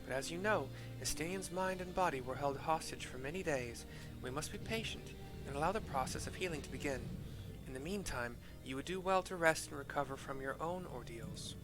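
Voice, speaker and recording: A man voicing a formal woman military commander from a fantasy game, reading in a measured way. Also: a little high, over music, close to an everyday voice, poor audio. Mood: formal, reassuring.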